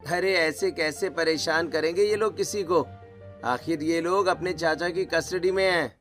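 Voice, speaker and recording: A man's voice: on the high side, unhurried, a bit bright, soft background music. A man voicing a grateful, respectful young student in a village family story. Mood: playful. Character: grateful, eager young student brother-in-law. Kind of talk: scripted story dialogue.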